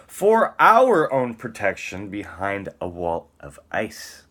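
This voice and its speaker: A man's voice: lowish voice, wildly swooping pitch, slow pace, a bit bright. A man giving a dramatic, theatrical spoken-word reading, moving between emphatic lines and muttered casual asides.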